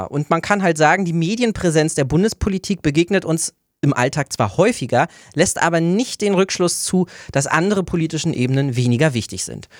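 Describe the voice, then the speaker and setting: A man's voice: on the high side, no echo. A man hosting a local radio politics show, explaining casually and thinking aloud with many fillers, in a radio studio.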